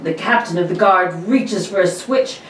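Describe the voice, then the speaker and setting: A woman's voice: on the low side, expressive, fairly bright tone, echoey room, distant mic, a little hiss or hum. A woman on a podcast panel reading old radio-serial narration aloud in an amused way. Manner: amused, slow reading with laughs.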